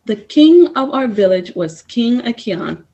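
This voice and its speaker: A woman reading a first-person story aloud at story time, in a slow, measured way. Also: a little high, sing-song, dark tone, low-quality recording. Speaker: storytime reader. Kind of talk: reading a picture book aloud.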